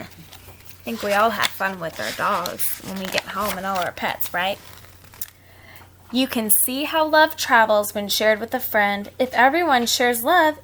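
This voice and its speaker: A woman's voice: on the high side, a little hiss or hum. A woman reading picture books aloud to children like a teacher, expressively, with simple casual asides. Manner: expressive, simple explaining to young listeners.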